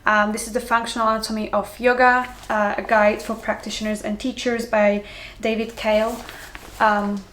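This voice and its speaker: A woman's voice: on the high side, level tone, moderate pace, fairly bright tone, roomy sound, a little hiss or hum. A young woman from Slovakia with a mixed Slovak-Hungarian accent, chatting to camera in a casual, rambling way, full of ums, restarts and easy laughs. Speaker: yoga teacher vlogger.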